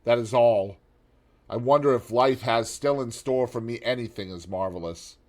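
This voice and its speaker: A man voicing a troubled, remorseful young gentleman in an audiobook, speaking earnestly. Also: mid-range voice, normal speed, close to an everyday voice. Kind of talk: audiobook character reading.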